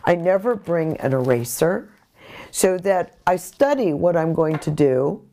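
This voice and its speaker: A middle-aged woman giving informal art instruction in deliberate, explanatory short phrases. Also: lowish voice, expressive, mellow. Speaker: courtroom artist giving instruction. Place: small bright home studio, indoors by a window.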